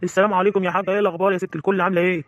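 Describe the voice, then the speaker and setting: A performer voicing a devoted adult son with an Egyptian accent who soothes his worried mother in brisk, reassuring words. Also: mid-range voice, level tone, highs cut off, lightly acted. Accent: Egyptian Arabic. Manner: brisk, soothing, calls her "lady of all".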